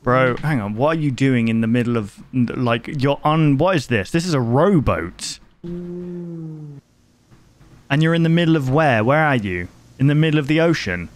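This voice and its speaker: A man hosting a reaction video, chatting and reacting aloud in an excitable, casual way. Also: fairly high pitch, moderate pace, a little hiss or hum. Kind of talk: reaction commentary.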